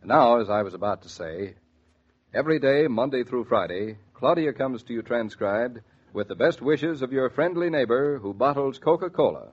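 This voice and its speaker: A man's voice: medium pitch, animated, moderate pace, husky. A man with an American accent doing scripted 1940s radio announcing. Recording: dull 1940s radio recording.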